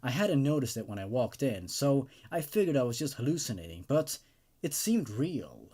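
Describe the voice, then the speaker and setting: A man's voice: medium pitch, sing-song, normal speed, warm tone, breathy edge. A man hosting a horror story show, moving between casual commentary and reading stories aloud. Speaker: host and reader of an online horror story show.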